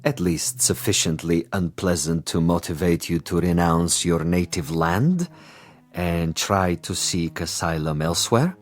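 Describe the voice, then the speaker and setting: A man's voice: deep voice, expressive, measured pace. A man playing a cultured, menacing commissar and assassin in a drama, speaking with theatrical mock courtesy and relishing his words. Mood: silky, probing. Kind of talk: dramatic TV-style dialogue acting.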